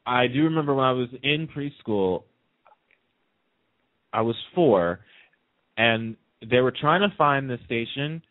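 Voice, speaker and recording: A man's voice: mid-range voice, dry recording. A man chatting casually as a guest in a radio interview, telling stories in a talkative way. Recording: narrow, tinny phone-line sound.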